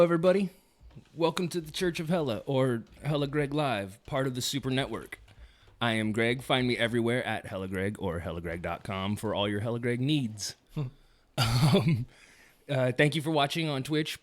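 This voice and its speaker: Man, livestream host, casual joking patter with lots of laughs. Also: medium pitch. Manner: laughs often, loose and joking, many fillers. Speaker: podcast host.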